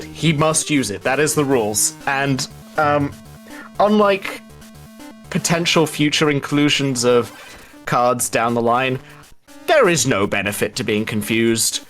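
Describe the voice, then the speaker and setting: A man's voice: mid-range voice, fairly bright tone. A man commentating a live gaming race, explaining things hesitantly with dry, understated humour.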